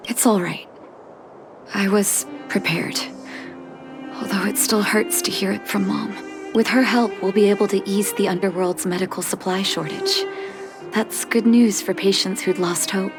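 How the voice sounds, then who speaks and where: A woman playing a gentle, dutiful young doctor in a video game, with a soft, earnest, sometimes breathy delivery. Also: mid-range voice, fairly even intonation, unhurried, lightly acted. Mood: wistful, resolved. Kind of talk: video game story voice acting. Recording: music behind the voice.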